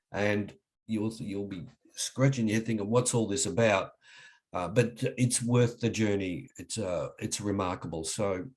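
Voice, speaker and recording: A middle-aged man with an Australian accent, husky from a cold, giving an online webinar lecture in a halting way with many restarts. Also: lowish voice, darkish tone. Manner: halting, frequent restarts and fillers. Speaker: webinar presenter.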